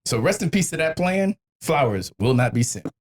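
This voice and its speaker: A man's voice: fairly high pitch, very animated, moderate pace, a little hiss or hum. An American man, around his thirties, hosting a podcast with casual, comedic banter, wry, profane and emphatic. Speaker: podcast host.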